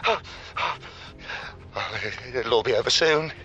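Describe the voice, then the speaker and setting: An actor voicing a tough, sardonic middle-aged English gunman in a video game, with a rough, gravelly voice, blunt and slangy in short combat lines. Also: medium pitch, expressive, measured pace, sharp tone, dull audio, slight background noise. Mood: panicked. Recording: game audio over faint music.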